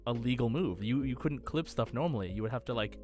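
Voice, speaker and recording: A man's voice: medium pitch, animated, fast talker, a little mellow, soft background music. A man co-hosting a gaming podcast in casual, enthusiastic, rambling chat. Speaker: podcast co-host and game streamer.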